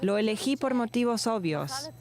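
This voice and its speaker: A woman's voice: fairly high pitch, expressive, normal speed, a crowd in the background. A young woman from Israel answering questions as an interviewed volunteer. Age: young adult.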